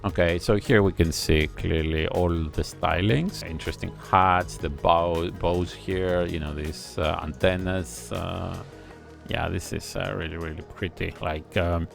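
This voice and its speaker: Deep, gravelly man reviewing a music video. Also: fairly even intonation. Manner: analytical, admiring, thinks aloud with pauses. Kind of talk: casual reaction commentary. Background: faint music video playing.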